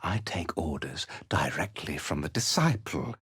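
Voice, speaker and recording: An actor playing a cold, haughty commander of a fanatical cult in a video game, speaking with measured, condescending menace. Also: male voice, extremely low pitch, animated, a bit bright, slightly rough, dry recording, clean studio sound, full character voice. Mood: cold, commanding. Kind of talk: video game character acting.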